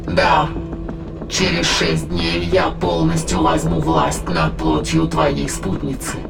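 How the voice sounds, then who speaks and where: A gravelly, cold alien entity. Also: male voice, a little high, big pitch swings, slow pace, full character voice. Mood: cold, assured. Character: cold alien entity possessing a dead woman's body. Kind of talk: dramatic audio play acting. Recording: voice under prominent music.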